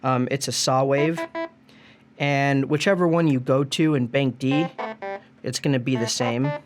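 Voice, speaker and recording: A man's voice: mid-range voice, fairly even intonation, a little slow, over music. Man giving a casual gear tutorial. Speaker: music gear tutorial presenter.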